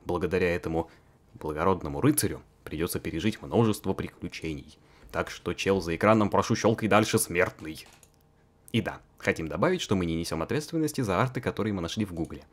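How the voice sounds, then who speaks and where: A man's voice: deep voice, animated, fast talker. A man narrating a fan-voiced visual novel in a joking, mocking way, full of crude slang and frequent laughter.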